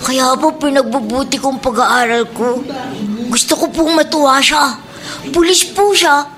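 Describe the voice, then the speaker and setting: A woman's voice: high voice, a little slow, over music. A woman playing an earnest, polite young former street kid with a Filipino accent in a radio drama. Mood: hopeful, grateful. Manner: polite, deferential. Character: earnest, polite adopted schoolchild, reformed young street snatcher named Mark.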